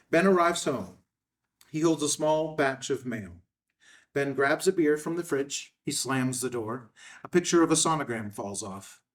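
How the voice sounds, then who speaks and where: A man reading screenplay stage directions as narrator in terse, measured, declarative phrases at a table read. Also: medium pitch, distant mic.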